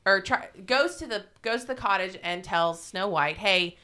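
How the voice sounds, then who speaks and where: A woman's voice: mid-range voice, moderate pace, sharp tone, clean tone. A woman podcast co-host casually retelling a fairy tale in an irreverent, salty-tongued, informal way.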